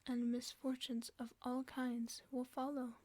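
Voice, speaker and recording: Softly whispering woman reading a bedtime story. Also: high voice, a little slow, no echo. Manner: soft, even whisper. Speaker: ASMR storyteller.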